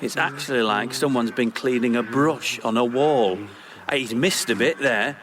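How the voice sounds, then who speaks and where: A man's voice: medium pitch, unhurried, fairly bright tone, natural voice. An actor playing a blunt, grumbling handyman in a radio sitcom.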